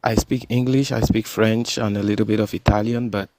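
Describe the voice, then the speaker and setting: A soft-spoken man from Cameroon, calling in and talking hesitantly with frequent restarts. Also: on the low side, fairly even intonation, brisk, a little hiss or hum. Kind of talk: casual chat.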